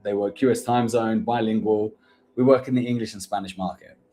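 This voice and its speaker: Blunt coaching man, low-key dark voice. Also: fairly even intonation, fairly quick. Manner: blunt, coaching, slangy with "bro". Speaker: host, recruitment agency owner. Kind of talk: live-stream Q&A.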